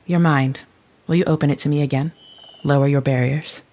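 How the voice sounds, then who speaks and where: A man playing solemn telepath, fairly high voice for a man, stilted formal phrasing, grave, audio drama. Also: fairly even intonation, darkish tone, natural voice. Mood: solemn pleading. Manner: stilted, formal, measured wording.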